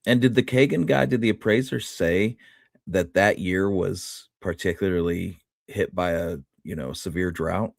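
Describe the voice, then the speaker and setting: A man's voice: low voice, measured pace, creaky, dry recording, studio quality. A man co-hosting a history show, chatting casually in brief, informal reactive remarks. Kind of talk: casual chat.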